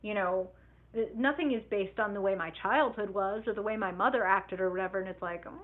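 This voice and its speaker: A woman reading tarot on a live stream, talking in an animated, chatty way. She swears casually and slips into little voices to play out what people tell themselves. The sound is narrow and tinny, as if it came over a phone line. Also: medium pitch, a little fast, background noise. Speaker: tarot reader.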